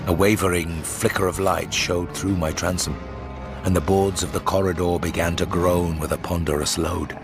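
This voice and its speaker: Deep male voice acting, young antiquarian storyteller, formal, grave, measured, horror audiobook, clear music bed. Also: flat delivery. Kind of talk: audiobook narration of horror fiction.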